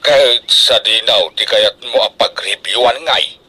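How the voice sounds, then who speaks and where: A man's voice: on the low side, unhurried, pushed and bright. A man playing a devoted, proud middle-aged farmer father in a radio drama, theatrical and emphatic in delivery. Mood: insistent, caring.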